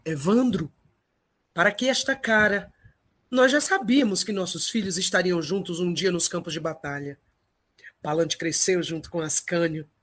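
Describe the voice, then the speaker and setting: A woman playing an adult male Trojan warrior leader, a steadfast father, in an audio drama with a husky voice. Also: on the low side, moderate pace, natural voice. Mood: earnest, reassuring.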